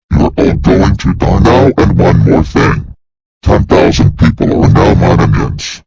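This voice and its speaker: A menacing swamp monster villain, performed by a man, gloats and taunts in a low, heavily husky growl. The voice crackles with gravel, and the recording is slightly dull, as in a homemade alert video. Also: darkish tone, heavily acted. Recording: dull, muffled lo-fi audio.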